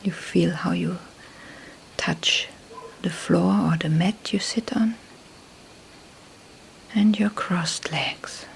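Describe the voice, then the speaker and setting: A woman leading a guided meditation in a soft, breathy near-whisper, calm and unhurried, with long pauses between short phrases. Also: lowish voice, a little hiss or hum, poor audio. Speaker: meditation teacher. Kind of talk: slow guided meditation instruction.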